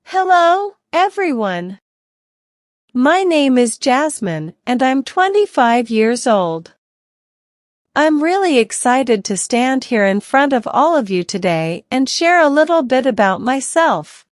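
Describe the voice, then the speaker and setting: Woman teacher reading a practice speech, high bouncy voice, dry close mic. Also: big pitch swings, dry recording. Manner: clear, deliberate, evenly paced delivery. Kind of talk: slow scripted reading for repetition practice.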